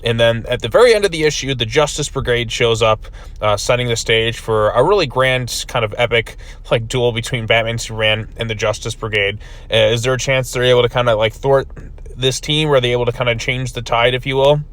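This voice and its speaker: A man's voice: medium pitch, fairly quick, a bit bright. A man giving a casual video review of a comic book, rambling with many fillers, his voice still recovering from being lost.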